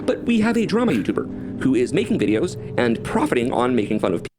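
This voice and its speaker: A man's voice: mid-range voice, very animated, fast talker, a little mellow, slight background noise. A man making his case in a spoken argument on a played-back video.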